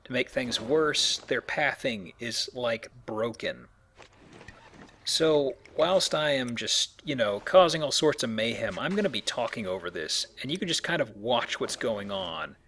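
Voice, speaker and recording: A man's voice: medium pitch, animated, measured pace, a bit bright. A man hosting a Let's Play, explaining things in a casual, conversational commentary. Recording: faint background noise.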